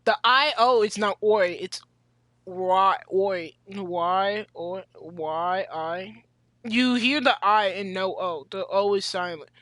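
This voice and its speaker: Chatty teen girl reacting loudly to a game. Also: mid-range voice, takes it slowly, no room sound, clean studio sound. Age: teens. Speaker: gameplay streamer. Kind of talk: casual gameplay commentary.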